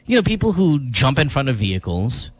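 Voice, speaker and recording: A man hosting a casual radio panel chat, talking in a joking, rambling, animated way. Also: medium pitch, brisk, slight background noise. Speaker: radio show host.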